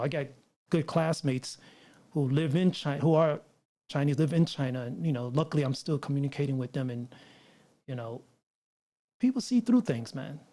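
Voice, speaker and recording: Rambling New man, mellow voice. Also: medium pitch. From United States. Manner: rambling, frequent restarts and asides to viewers. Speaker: livestream host. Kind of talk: casual live stream chat. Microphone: mixer setup with line noise.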